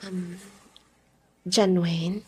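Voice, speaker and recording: An affectionate young girlfriend, husky voice. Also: on the low side, little pitch movement, drawn-out words, full character voice. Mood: earnest pleading. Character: devoted young girlfriend longing to get married. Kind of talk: Filipino radio drama acting.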